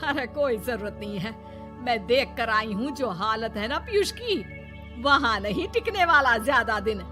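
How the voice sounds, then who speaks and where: A woman's voice: on the high side, animated, a little slow, over music. A woman voicing a blunt, bossy older mother-in-law in a scolding, dramatic cartoon manner. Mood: insistent. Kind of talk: Hindi cartoon moral story dialogue.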